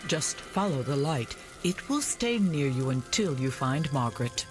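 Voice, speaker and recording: An actress playing an older village wise woman in a video game, caring and earnest, giving measured instructions. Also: rumbling low, big pitch swings, full character voice. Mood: earnest, urgent. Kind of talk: scripted video game dialogue. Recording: music behind the voice.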